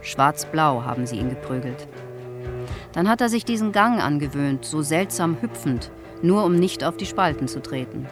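A man's voice: on the high side, animated, natural voice. Old male survivor recalling, over guitar music, acted. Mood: haunted recollection. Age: older. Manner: deliberate, reflective storytelling. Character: old male concentration camp survivor, haunted, plainly recalling. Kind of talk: radio drama witness account, monologue.

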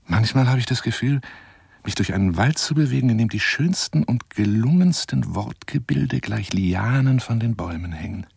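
Theatrical husky, garrulous lion hunter. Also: male voice, mid-range voice, animated, moderate pace, a little mellow, no room sound, clean studio sound, full character voice. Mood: poetic, wistful. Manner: theatrical, rambling, coaxing, swinging from exclamations to whispers. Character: garrulous, self-styled lion hunter wooing a woman by telephone. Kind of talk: radio play monologue.

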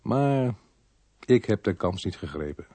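A husky, fairly deep-voiced man in a radio play. He is shy and old-fashioned, speaking in an understated, hesitant way, as someone smitten who blames himself. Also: big pitch swings, a little slow, dark tone, heavily acted. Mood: rueful, reflective. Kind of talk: radio drama acting with first-person narration.

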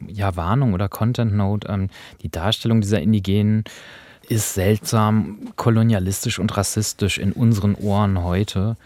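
A man speaks in a fairly low register, explaining things thoughtfully as the host of a discussion. The sound is dry and close, with no room around it. His delivery is conversational. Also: dark tone, no echo. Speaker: podcast host introducing radio dramas. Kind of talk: conversational discussion of a radio play.

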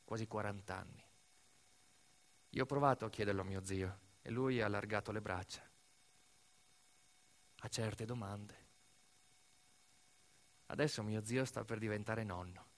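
A man's voice: fairly low pitch, normal speed, long pauses. A man performing a dramatic storytelling monologue, with emphatic, building, repeated phrases. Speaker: stage actor and narrator of a documentary monologue.

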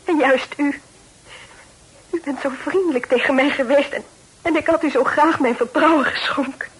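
Troubled young lady played by a woman, high-pitched, hesitant, earnest, period radio drama. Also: moderate pace, natural voice, poor audio. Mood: anguished, tearful. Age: young adult. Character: distressed, grateful young lady with a secret. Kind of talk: Dutch radio play acting.

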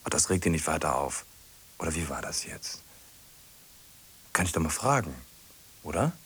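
A man's voice: rumbling low, big pitch swings, a bit bright, husky, exaggerated voice. An actor playing a hesitant, lonely, obsessive man who stumbles and repeats himself in a radio play. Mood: musing, restless. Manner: halting, repeats himself, muttering to himself.

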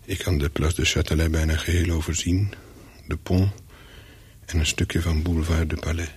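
Wary English hotel guest, very husky, low-pitched, measured, reminiscing, radio drama. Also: male voice, fairly even intonation, exaggerated voice. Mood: brooding, reflective. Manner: measured, brooding, clipped short sentences. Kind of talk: radio play, first-person narration with dialogue.

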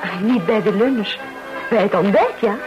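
A woman playing a polite, sociable lady in radio drama dialogue. Her pitch is average for a woman. She speaks in a courteous, conversational way. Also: moderate pace, highs cut off, music in the background, natural voice. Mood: polite interest. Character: polite, sociable English woman art dealer on holiday.

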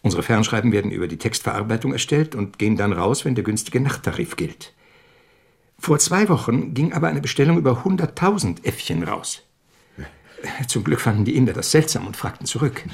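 A middle-aged company executive in a radio play. His voice is husky and medium in pitch. He is formal and businesslike, pressing his points with insistence. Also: sing-song, brisk, heavily acted. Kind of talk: radio drama dialogue.

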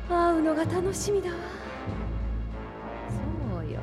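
A woman's voice: extremely high pitch, very animated, unhurried, full character voice. A woman playing a vain, seductive and cruel demon villainess in an audio drama, with an imperious, sultry manner. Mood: scheming. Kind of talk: Japanese audio drama acting. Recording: long reverb, music behind.

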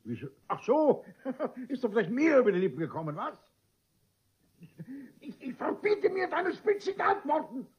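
Blustering, husky, pompous bourgeois father. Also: extremely high pitch, huge pitch swings, normal speed, full character voice. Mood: indignant, flustered. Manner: blustering, imperious, easily flustered, stammering when indignant. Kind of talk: theatrical classic comedy radio play acting. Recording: dull, band-limited old radio recording.

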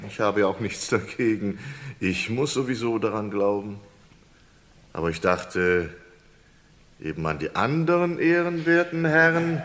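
Slyly courteous condemned prisoner. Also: male voice, lowish voice, animated, fairly bright tone. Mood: mock courtesy. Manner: elaborately polite, hesitant, drawn-out menace. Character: sly condemned male prisoner, unruffled, mockingly courteous. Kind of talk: German radio crime play acting.

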